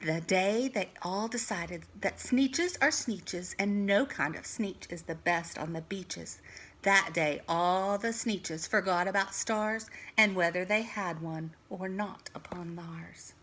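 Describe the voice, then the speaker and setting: A woman's voice: lowish voice, slow pace, fairly bright tone. A woman reading a children's storybook aloud expressively, like a teacher to young pupils.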